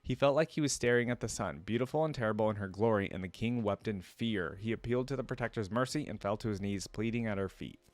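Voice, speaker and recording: A man's voice: fairly low pitch, quick pace, hardly any pauses. A man hosting a reaction video, reading a story aloud and commenting casually in slangy asides.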